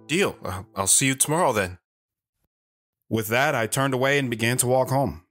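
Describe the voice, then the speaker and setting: A man's voice: mid-range voice, normal speed, fairly bright tone, soft background music, close to an everyday voice. A man playing an earnest, awkward teenage boy who tells his own story in an audio drama. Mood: sheepish. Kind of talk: first-person dramatic narration with acted dialogue.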